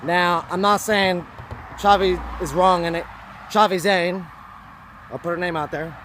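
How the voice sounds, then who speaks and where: A fairly high-voiced man speaks hoarsely, rambling and circling back on himself as he preaches to his camera. Faint traffic hums outdoors behind him. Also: fairly even intonation, a little slow, a bit bright. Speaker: vlogger. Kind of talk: casual rambling monologue to camera.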